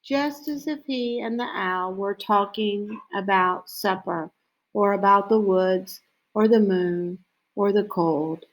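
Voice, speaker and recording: Woman storybook reader, very slow and deliberate, medium pitch, pausing often between phrases. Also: low-quality recording. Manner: unhurried, deliberate phrasing with frequent pauses. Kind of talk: scripted children's story read-aloud.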